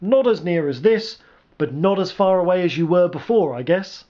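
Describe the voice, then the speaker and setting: A man's voice: high-pitched, animated, moderate pace, warm tone, lo-fi sound. A man voicing a dim, laid-back male army grunt with an oblivious slacker manner in a storybook reading. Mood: offhand.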